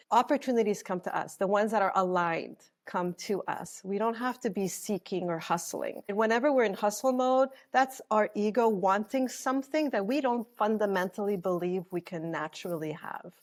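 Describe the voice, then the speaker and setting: A woman speaks at a middle pitch. She tells her life story as a podcast guest, thoughtful and earnest, letting her sentences flow on with small fillers. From Canada. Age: 40s. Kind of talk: reflective storytelling interview.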